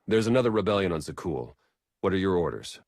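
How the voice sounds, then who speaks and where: A man's voice: low-pitched, sing-song, fast, darkish tone, dry recording. A man playing a wry, sardonic spymaster advisor in a video game, with a dry, casual, teasing manner. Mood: dry, reporting. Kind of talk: video game cinematic acting.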